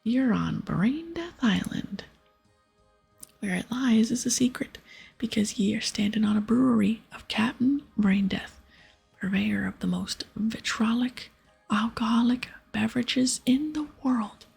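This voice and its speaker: Woman putting on a gravelly, breathy pirate captain. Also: a little high, unhurried, heavily acted, poor audio. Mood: grandiose, grave. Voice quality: breathy, half-whispered, gravelly. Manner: archaic pirate talk with arrs and ayes. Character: gruff, dramatic old-salt male pirate brewery captain. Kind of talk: ASMR video game dialogue read-aloud. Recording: soft music behind.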